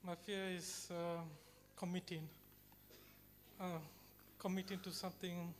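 A man from Fiji stumbles slowly through his answer in a large hall. His pitch barely moves, and faint ambience sits behind him. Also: little pitch movement, a little hiss or hum. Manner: hesitant, many fillers.

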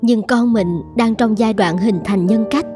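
Woman doing gentle polite cartoon mom, kinda high voice, firm but considerate, music playing. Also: measured pace, natural voice. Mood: reflective. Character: considerate, firm young mother employing a housekeeper. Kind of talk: cartoon voice acting.

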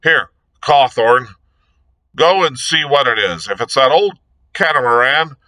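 A man's voice: mid-range voice, expressive, unhurried, pushed and bright, exaggerated voice. A man voicing a stubborn, harassed police inspector who grumbles morosely and scornfully. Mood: harassed, irritated.

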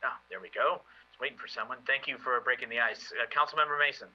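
Man chairing a council session, sharp bright tone, loose hesitant phrasing, dull call audio. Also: medium pitch, quick pace. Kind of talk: chairing a meeting. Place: remote video meeting.

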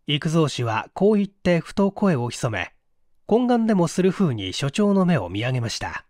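A male narrator reads a mystery story with animated, varied intonation. His voice sits a little high for a man, and the sound is dry and close, as a scripted audiobook reading. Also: normal speed, no room sound. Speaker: audiobook reader, stage actor.

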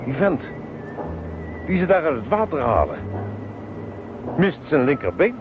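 A man's voice: fairly high pitch, sing-song, a little slow. An actor playing a plain-spoken, seasoned harbour policeman in a radio drama. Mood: puzzled. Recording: tinny, noisy old radio recording.

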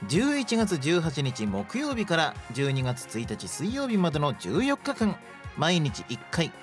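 A woman reading a scripted promotional announcement as a radio show host. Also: sits low. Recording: faint music behind the voice. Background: background music.

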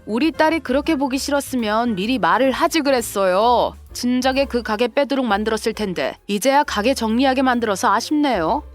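A woman's voice: fairly high pitch, animated, fairly quick, few pauses, faint music behind, lightly acted. A woman playing a composed, sarcastic sister-in-law and protective mother in a scripted audio drama. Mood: coldly vengeful.

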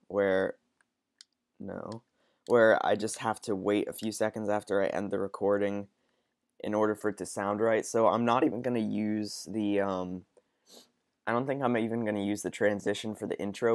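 A man's voice: fairly low pitch, no room sound, studio quality. A young man with a cold, sounding sniffly, chatting hesitantly with lots of ums while playing a game. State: stuffy with a cold. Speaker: gaming channel host. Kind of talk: casual let's-play commentary.

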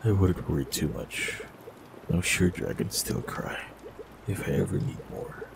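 A man voicing a warlock in an audio roleplay, talking calmly and reassuringly to the listener. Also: low voice, a little slow, creaky, background noise. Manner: calm, gentle, reassuring.

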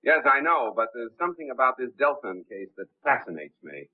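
Suave gentleman detective, lively intonation, tinny vintage film sound. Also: medium pitch, fairly quick, no room sound. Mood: assured. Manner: suave, breezy, self-assured. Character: suave confident wealthy male gentleman detective. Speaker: wealthy gentleman hero, Lamont Cranston. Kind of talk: 1930s film acting.

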